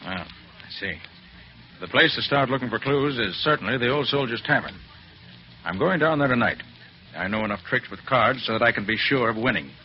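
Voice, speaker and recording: A dull vintage radio recording with light noise carries a male actor as a master detective. His pitch is middling. He speaks crisply and formally, shrewd and fully sure of himself, in old-time radio drama style. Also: expressive, brisk, lightly acted. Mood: confident, determined. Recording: muffled, noisy vintage radio broadcast.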